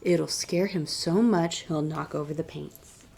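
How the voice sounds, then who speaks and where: A woman reading aloud as a children's storytime host, deliberate and expressive in her delivery. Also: low voice. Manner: clear, deliberate picture-book reading with expressive emphasis. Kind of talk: read-aloud storytime narration.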